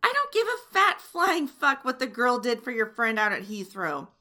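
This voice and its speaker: Woman to play a domineering young flight attendant, sharp-tongued and taunting; slightly high, unhurried delivery. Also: animated, creaky, full character voice. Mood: furious. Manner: mocking, teasing taunts. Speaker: voice actor in an audio roleplay. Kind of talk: adult audio roleplay.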